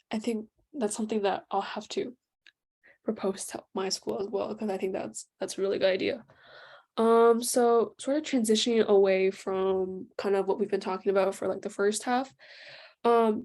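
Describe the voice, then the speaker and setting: A woman's voice: medium pitch, dark tone. A teenage young woman moderating an informal discussion in a casual way, with many fillers. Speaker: forum moderator.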